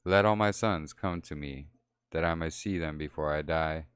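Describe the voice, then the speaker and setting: A man's voice: a bass voice, normal speed, fairly bright tone, smooth, no room sound. A man reading the lines of an old, dying patriarch in solemn archaic speech.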